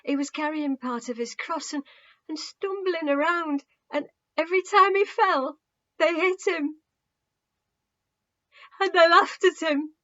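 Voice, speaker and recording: A woman voicing an anguished, guilt-ridden young man in an audiobook, halting and emotionally raw. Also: extremely high pitch, fairly bright tone, highs cut off, pitched above the performer's own voice. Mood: anguished, breaking down. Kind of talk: audiobook character acting.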